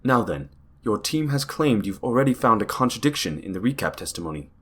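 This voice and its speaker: A man's voice: fairly low pitch, very animated, brisk, a bit bright. A man playing a polite, orderly judge in an audio drama, speaking in a formal, official manner. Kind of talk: audio drama character acting. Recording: noisy background ambience.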